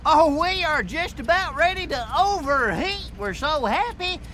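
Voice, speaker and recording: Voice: sits high, lively intonation, measured pace, sharp tone, a little hiss or hum, heavily acted. An actor playing a happy, simple-minded, friendly truck in a game, eager and naive. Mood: excited.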